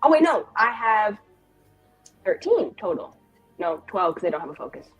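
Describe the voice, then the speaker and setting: A woman's voice: mid-range voice, very animated, normal speed, slightly rough, highs cut off, faint music behind. A woman playing a tabletop game, chatting casually in a self-deprecating, sweary way.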